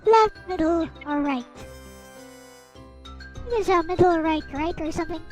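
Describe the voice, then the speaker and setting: A woman with an extremely high, childlike voice reads a visual novel aloud for a stream. She slips between playful narration and casual asides. Light tinkly music plays behind her. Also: measured pace. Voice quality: high, girlish voice. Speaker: streamer, host.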